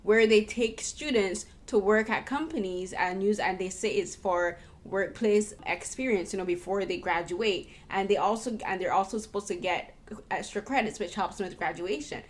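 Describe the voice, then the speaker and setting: A young woman hosts a film interview at a medium pitch. She talks earnestly and thoughtfully, often stopping to rephrase mid-sentence. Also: moderate pace. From Barbados. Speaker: podcast host and interviewer. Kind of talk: casual conversational film interview.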